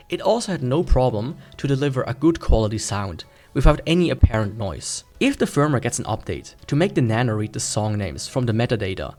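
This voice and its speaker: A man with a mid-pitched voice narrates a scripted gadget review. His intonation swings up and down in a lively way as he explains things plainly, with music playing behind him. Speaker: tech reviewer and host.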